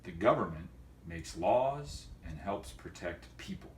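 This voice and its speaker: A man speaking as a teacher and host of a homemade video for students, in a folksy, wordy, deliberate way. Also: deep voice, lively intonation, echoey room, a little hiss or hum. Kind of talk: casual educational vlog for students, with read-aloud.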